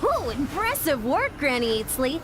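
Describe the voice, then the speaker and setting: Eager high-voiced young warrior. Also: female voice, big pitch swings, normal speed, background noise. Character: eager, practical young female warrior ally. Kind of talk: video game character acting. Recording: music under the dialogue.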